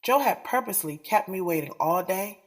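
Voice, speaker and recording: A woman's voice: medium pitch, lively intonation, brisk, background noise, close to an everyday voice. An actress playing a blunt, guarded, selfish woman who tells her own love story in slangy, profane audio drama. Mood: confessional, weary. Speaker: narrator and lead of an audio drama. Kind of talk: first-person confessional audio drama, slangy.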